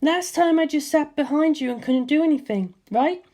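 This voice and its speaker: A bold young woman fighter from a spoken adventure story, voiced by its reader, tossing off her lines with breezy, cheerful confidence. Her voice sits far higher than the narration around her, light and playful even with danger ahead. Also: moderate pace. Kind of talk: audiobook character voice.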